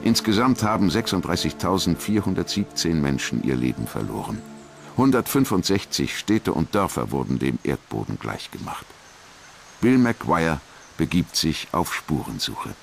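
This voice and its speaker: A man reading scripted documentary narration in a measured, grave manner. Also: low-pitched, big pitch swings, creaky, soft background music.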